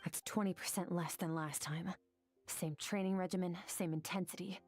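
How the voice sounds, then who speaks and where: A woman voicing a stoic, self-critical young swordswoman in an anime video game, soft and breathy, speaking in terse, measured lines. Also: fairly low pitch, fairly even intonation, natural voice. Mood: dissatisfied. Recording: game music behind the voice.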